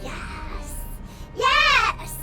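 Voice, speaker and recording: A woman voicing a small, simple, plaintive elemental creature in a video game, in halting childlike phrases. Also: very high-pitched, big pitch swings, heavily acted. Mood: earnest. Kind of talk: scripted video game voice acting. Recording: loud game music under voice.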